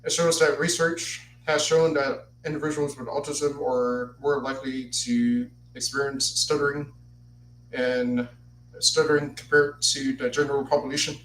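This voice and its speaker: A man's voice: mid-range voice, little pitch movement, moderate pace, a bit bright, far from the mic. A man in his twenties hosting a solo podcast, who stutters and repeats words as he partly reads from a script. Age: 20s. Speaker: podcast host. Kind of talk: solo podcast talk, partly read aloud. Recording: echoey room, voice off-mic, faint noise.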